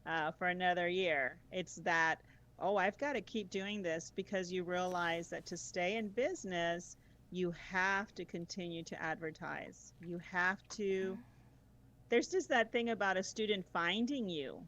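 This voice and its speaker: Woman guest talking tutoring, lowish voice, laughs a lot, lots of ums. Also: a little slow. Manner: warm laughs, enthusiastic, many fillers. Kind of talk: casual conversational chat.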